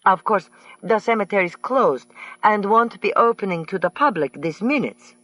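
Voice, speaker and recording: A woman's voice: on the high side, expressive, a little slow, a bit bright, dry recording, pitched above the performer's own voice. A man voicing a fussy wealthy woman who gushes and mangles her phrasing. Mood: insistent. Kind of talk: audiobook character voice.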